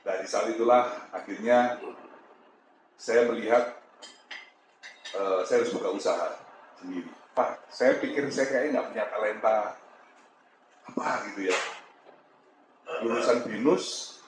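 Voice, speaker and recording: A man's voice: on the low side, a little slow, long pauses. A man in his fifties from Malang with a Javanese accent, candidly telling the story of his business to an audience with some chuckles. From Malang, East Java. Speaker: business owner giving a talk. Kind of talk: motivational talk to an audience. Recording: echoey room with background noise.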